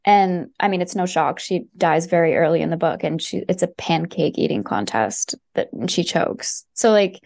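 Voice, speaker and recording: A woman's voice: fairly low pitch, moderate pace, vocal fry, hardly any pauses, a little mellow. A woman chatting casually and enthusiastically on a podcast, with many fillers and restarts. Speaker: podcast guest recommending books. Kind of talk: casual chat.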